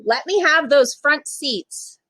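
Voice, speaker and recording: A woman's voice: high voice, lively intonation, takes it slowly, pushed and bright, no room sound, full character voice. Woman playing a bossy, angry bus driver. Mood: demanding, annoyed. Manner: demanding, impatient, folksy orders.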